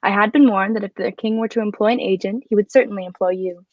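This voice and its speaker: A woman's voice: medium pitch, fairly even intonation, quick pace, no echo, lo-fi sound, close to an everyday voice. A woman acting a clever, bold, flirtatious adventuress in a radio drama, with a poised, formal and coy way of speaking. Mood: poised, triumphant. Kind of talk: adaptation of a 1940s radio drama.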